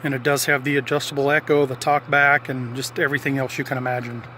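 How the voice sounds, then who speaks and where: A man's voice: medium pitch, little pitch movement, fast, bright, forceful tone, a little hiss or hum. A man giving a casual, unscripted hands-on walkthrough of an installation, explaining things in a matter-of-fact way. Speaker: hobbyist presenter showing a radio installation.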